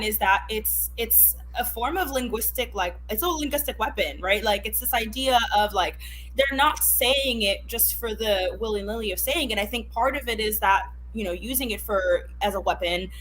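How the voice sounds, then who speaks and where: A woman's voice: a little high, animated, moderate pace, fairly bright tone, slight background noise, rough recording. Haitian-born woman, emphatic and thoughtful. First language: Haitian Creole. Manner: thoughtful, emphatic, self-correcting. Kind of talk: casual discussion.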